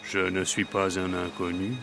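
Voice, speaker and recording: Casting: menacing male orca, lowish voice, falsely courteous, cartoon villain, loud music underneath. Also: monotone. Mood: falsely sweet. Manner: smooth-talking, mock-polite, drawn-out delivery. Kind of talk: French dubbed children's cartoon acting.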